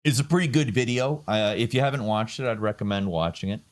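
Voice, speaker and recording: A man's voice: on the low side, fast talker. A man giving casual political commentary, sardonic and rambling. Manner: sardonic, rambling with fillers and restarts.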